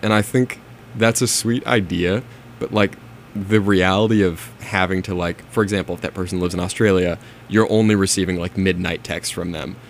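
A man's voice: sits low, fairly even intonation, moderate pace, slight background noise. A young man co-hosting a casual chat, feeling his way through his points in a hesitant, conversational manner. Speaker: podcast co-host. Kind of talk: casual chatty discussion.

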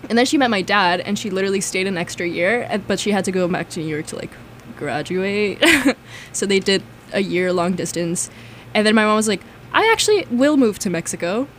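A young woman talks as a casual co-host, at a middling pitch. Her delivery is animated and conversational, full of "like" as she goes. There is some faint noise behind her voice. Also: a little fast. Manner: animated storytelling, heavy use of "like". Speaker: podcast co-host. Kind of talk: casual chatty discussion.

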